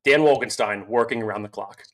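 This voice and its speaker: A man co-hosts a sports podcast with lively, hype-style energy. He moves from banter into an ad read without losing his casual tone. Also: on the low side, brisk. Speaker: podcast co-host.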